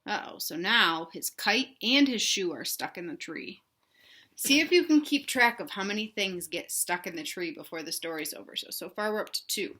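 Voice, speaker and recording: A woman reading a children's storybook aloud in an animated, emphatic way, pausing to put questions to young listeners. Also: medium pitch. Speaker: read-aloud host for children.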